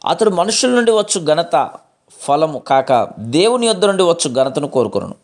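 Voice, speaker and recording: Male devotional reader, fairly high pitch, formal and measured scripted delivery.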